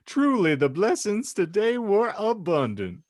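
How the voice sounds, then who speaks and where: A man's voice: high-pitched, huge pitch swings, unhurried, darkish tone, dry recording, studio quality. A man hosting a comedy podcast and narrating, with a mock-solemn, wry, theatrical delivery. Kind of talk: comedic banter and dramatic story reading.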